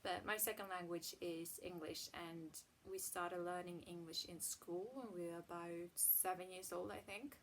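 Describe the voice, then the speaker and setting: A Swedish woman in her twenties, a native Swedish speaker, chatting casually to camera in her home hallway, with soft, half-whispered asides. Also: on the low side, steady tone, moderate pace, few pauses, slight background noise, rough recording. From Sweden. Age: 20s. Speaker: vlogger. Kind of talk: casual vlog talk to camera.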